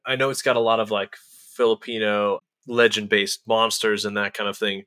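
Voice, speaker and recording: A man's voice: fairly low pitch, level tone, normal speed, sharp tone, dry recording. A man hosting a casual interview, asking friendly, chatty questions. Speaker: podcast host.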